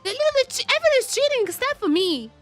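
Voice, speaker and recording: A woman game streamer giving casual, expressive live commentary, often repeating her phrases. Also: extremely high pitch, very fast, faint music behind.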